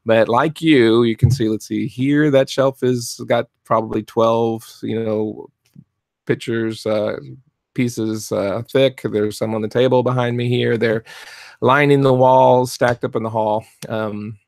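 Middle-aged man, soft-spoken coach, gravelly edges, rambling with fillers, dry close desk mic. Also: medium pitch, little pitch movement, measured pace, dry recording. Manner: rambling, many fillers and restarts. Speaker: fluid art business coach. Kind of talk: casual live-stream talk. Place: cluttered home art studio, indoors. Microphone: desk mic in front of webcam.

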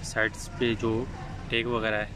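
A man talks in a slow, flat, level voice as he walks through a building site. He speaks into a phone held while filming, and clear outdoor site noise runs behind him. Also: lowish voice. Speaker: construction site supervisor. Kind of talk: site walkthrough narration.

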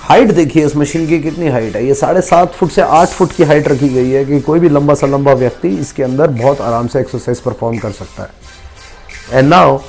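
A man's voice: medium pitch, normal speed, darkish tone, faint music behind. An Indian man in his thirties, a gym equipment seller, walking through machines in a large warehouse gym and mixing Hindi and English. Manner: mixes Hindi and English, repeats phrases for emphasis. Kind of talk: product walkthrough demo.

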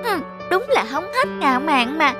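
A woman's voice: high voice, big pitch swings, normal speed. A woman voicing a cheeky clever little boy. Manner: polite but cheeky. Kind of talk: cartoon voice acting. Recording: music playing under voice.

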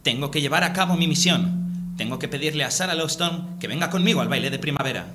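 An awkward but earnest young superhero played by a man from a radio serial. His voice is bright and forceful, with a Spanish accent from Spain. He is hesitant and theatrical, over faint music. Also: fairly high pitch, fast. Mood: determined. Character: earnest, awkward young male superhero student, Captain Chicken.

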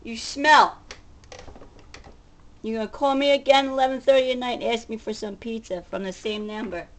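High-pitched agitated middle-aged woman. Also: fairly even intonation, normal speed. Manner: agitated, combative, sarcastic, profane. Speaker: vlogger. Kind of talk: confrontational ranting to camera, casual. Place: home kitchen, indoors. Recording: noisy home webcam recording.

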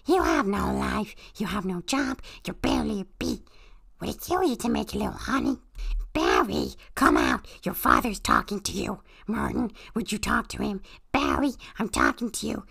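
A small cartoon toad creature reads a script in a husky, straining croak. The gravelly voice lurches wildly between squawks and growls, exaggerated and excitable as it takes every part. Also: female voice, mid-range voice. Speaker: performer reading a film script aloud. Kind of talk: character reading in a put-on voice, playing every part.

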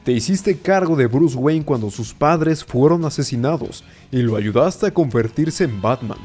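A man playing a flippant, self-important superhero with an insistent manner in an animated fan film. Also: mid-range voice, natural voice. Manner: insistent, boastful.

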